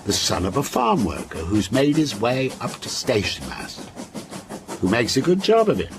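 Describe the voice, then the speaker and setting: An actor in a radio drama playing a wistful, contrary older man who tells stories in a deliberate, lyrical and insistent way. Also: mid-range voice, huge pitch swings, background noise. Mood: wistful, measured. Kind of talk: radio drama acting, storytelling.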